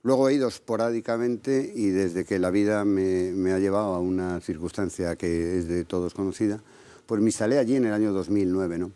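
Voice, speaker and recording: A man from Galicia answering questions in a TV interview, measured, often ending points with a questioning tag. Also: low voice, steady tone, warm tone. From Galicia, Spain. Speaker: interviewed candidate.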